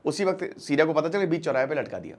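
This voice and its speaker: A man's voice: fairly high pitch, big pitch swings, quick pace. A man giving an informal current-affairs lecture as a storyteller, talking directly to listeners in colloquial language and repeating phrases for emphasis. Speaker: current affairs educator and channel presenter. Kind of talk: informal explainer lecture, storytelling with acted-out dialogue.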